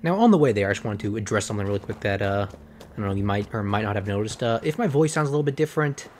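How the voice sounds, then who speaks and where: Slightly hoarse man, rambling game commentary. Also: fairly low pitch, animated, very fast, darkish tone, slight background noise. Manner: rambling, chatty, frequent fillers and self-corrections. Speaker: gameplay commentator. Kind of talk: casual gameplay commentary. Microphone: desk microphone.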